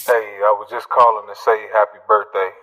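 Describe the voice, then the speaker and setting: A man playing a casual, flirty lover leaving a low-key voicemail in an audio drama. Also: flat delivery, fairly quick, sharp tone, poor audio. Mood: casual, inviting. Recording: music bed behind the voice.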